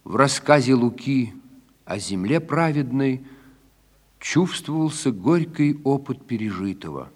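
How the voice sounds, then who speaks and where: Male narrator, medium pitch, scripted documentary delivery, long hall reverb. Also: lively intonation, unhurried. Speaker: narrator introducing a recorded performance.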